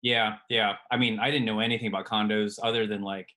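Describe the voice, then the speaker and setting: A man guest on a podcast, a radiologist, telling his story in a relaxed way, hedging often. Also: fairly low pitch, a little fast. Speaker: guest, radiologist and private lender. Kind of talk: casual podcast interview.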